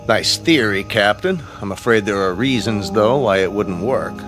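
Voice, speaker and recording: A man's voice: on the low side, lively intonation, moderate pace, over music, natural voice. A man playing a precise, skeptical, bookish scientist on a space crew in a radio drama.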